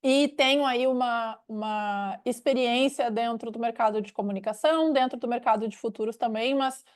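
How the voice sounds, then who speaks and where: A woman's voice: a little high, normal speed. A Brazilian woman with a gaúcho accent hosting a webinar, talking in a conversational, explanatory way. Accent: gaúcho Brazilian Portuguese. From Rio Grande do Sul, Brazil. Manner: didactic, explanatory. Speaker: webinar host, director of a knowledge unit. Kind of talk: conversational presentation.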